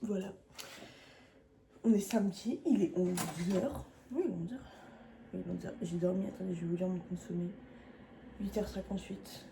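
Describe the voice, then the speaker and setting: A young woman chatting casually to the camera in a vlog, low-key and often murmuring half under her breath. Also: steady tone, moderate pace, creaky, pauses often, background noise. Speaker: vlogger.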